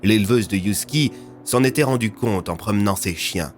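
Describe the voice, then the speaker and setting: A man's voice: fairly low pitch, moderate pace, soft background music. A man reading scripted French audio fiction as its narrator.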